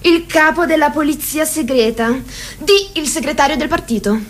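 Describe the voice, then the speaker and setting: A woman performing as a formal narrator in a stage play, precise and deliberate as she lists and describes. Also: sits high, close to an everyday voice. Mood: precise, descriptive. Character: formal female narrator cataloguing a party hierarchy. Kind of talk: theatrical scripted narration.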